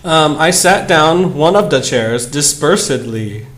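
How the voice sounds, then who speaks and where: An animated man reads aloud and riffs on it with sarcastic amusement. His pitch swings widely while his pace stays unhurried, and the room adds noticeable echo. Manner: amused, sarcastic, often breaking into giggles. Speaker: commentary show host. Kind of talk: mocking dramatic reading with casual commentary.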